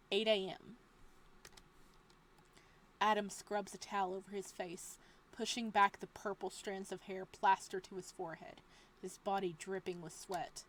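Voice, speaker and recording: A woman reading a visual novel aloud as a let's play host, moving between dramatic narration and casual, expressive reactions. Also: medium pitch. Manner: expressive, exclamatory asides with laughter between measured reading.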